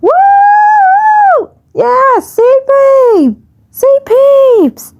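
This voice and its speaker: A woman's voice: very high-pitched, lively intonation, drawn-out words, highs cut off, voice pushed up, exaggerated voice. A woman voicing a wildly enthusiastic male cartoon alien, exuberant and cheering, in an amateur fandub. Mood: elated. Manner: shouting, exuberant.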